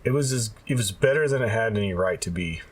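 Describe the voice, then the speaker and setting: A man's voice: on the low side, lively intonation, fast talker, husky, recorded from a distance. An adult man co-hosting a podcast in casual conversation. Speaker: podcast co-host. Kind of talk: casual chat.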